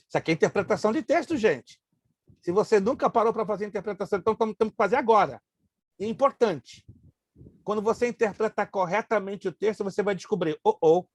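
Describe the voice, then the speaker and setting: A male pastor with Hebrew as his first language leads a Bible class. His voice sits high and a little husky. He drills grammar terms slowly and mixes in joking asides. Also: dry recording.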